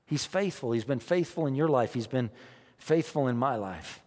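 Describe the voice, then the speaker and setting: A man preaching a sermon as pastor, emphatic, repeating his phrases and swinging between quiet and loud. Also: medium pitch, lively intonation, moderate pace, big-room sound. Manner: emphatic, repeats phrases, rises and drops in loudness.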